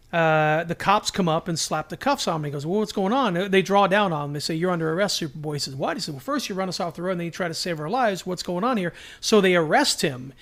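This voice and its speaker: A man's voice: sits high, fairly bright tone. A man co-hosting a podcast in casual fan discussion, acting out bits of dialogue as he explains. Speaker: podcast co-host.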